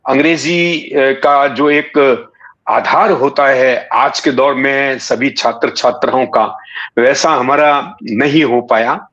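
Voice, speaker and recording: Slow-talking man in his sixties, muffled audio. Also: medium pitch, fairly even intonation, fairly bright tone. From Bikaner, Rajasthan, India. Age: 60s. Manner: halting, deliberate phrasing with pauses. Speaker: interview guest, writer and satirist. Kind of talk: reminiscing in a conversational interview.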